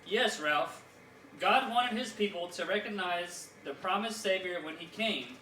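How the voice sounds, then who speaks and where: A man's voice: sits high, expressive, sharp tone, exaggerated voice. A man voicing a kindly, teacherly talking Bible puppet who explains things in a children's church show. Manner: didactic, measured explaining. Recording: echoey room, distant mic, faint noise.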